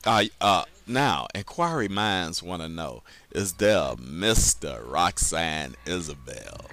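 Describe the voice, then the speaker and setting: A man hosting a chat interview show, speaking in halting, hesitant phrases. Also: low voice, very animated, pushed and bright. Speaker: talk show host.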